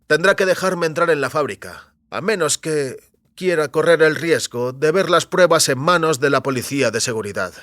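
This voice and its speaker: Un actor interpreta a un extécnico fugitivo, decidido y calculador, en una audioficción, con habla segura y pausada. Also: male voice, fairly high pitch, animated, fairly bright tone, no echo. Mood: resolute. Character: Jennings, determined scheming former technician on the run. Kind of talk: science fiction audio drama dialogue.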